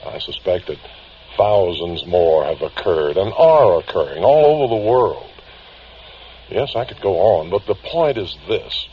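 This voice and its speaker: An actor playing a grave, worried older man confiding strange cases, speaking haltingly and earnestly in vintage radio drama style on a dull recording. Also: sits low, lively intonation, slight background noise. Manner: halting, deliberate, earnest, pausing mid-phrase. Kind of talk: vintage radio drama acting, confessional monologue.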